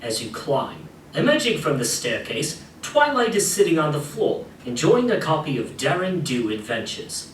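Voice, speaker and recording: A man playing the guiding narrator of an adventure game, reading scripted lines in an expressive, theatrical way. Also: a little high, moderate pace, hardly any pauses, a little hiss or hum. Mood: guiding, storytelling. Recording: echoey hall playback, distant, faint music.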